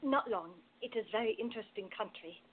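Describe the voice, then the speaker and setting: A woman's voice: fairly high pitch, fairly quick, a little hiss or hum, close to an everyday voice. Woman playing a teasing woman, dull recording. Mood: mischievous. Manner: speaks simple broken English, deadpan teasing. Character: teasing foreign woman in costume, playful, bold, quick-witted. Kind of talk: radio play acting.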